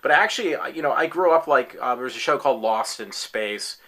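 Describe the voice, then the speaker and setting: Man, late forties, bright-toned, rambling fan talking casually from his room at home. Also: mid-range voice, animated, brisk. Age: 40s. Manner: rambling, many fillers and restarts; enthusiastic fan. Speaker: applicant in a tryout video. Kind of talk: casual self-recorded talk.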